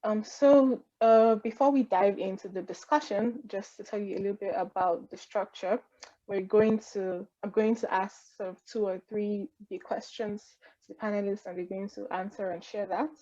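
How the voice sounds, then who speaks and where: A woman's voice: medium pitch, fairly even intonation, moderate pace, warm tone. A woman moderating an online panel over a video call, easygoing and rambling, with many fillers. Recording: dull lo-fi online call audio.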